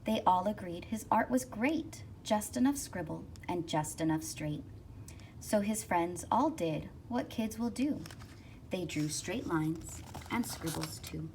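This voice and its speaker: A woman reading a rhyming children's picture book aloud expressively, with rhythmic, deliberate phrasing. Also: lowish voice, expressive, darkish tone. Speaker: school counselor reading aloud. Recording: distant mic with faint noise.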